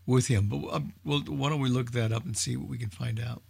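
A man's voice: medium pitch, steady tone, a little fast, gravelly, a little mellow, breathy edge. An older man chatting casually as a knowledgeable old-time radio enthusiast, hesitant and thinking aloud. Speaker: old-time radio expert on a group call. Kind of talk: casual group discussion.

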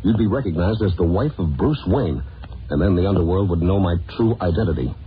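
An actor playing a stern, commanding masked crime fighter and husband in a superhero audio drama, speaking firmly and declaiming. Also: male voice, low voice, animated, fairly quick. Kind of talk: old-time superhero audio drama acting. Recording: tinny band-limited, noisy old audio.

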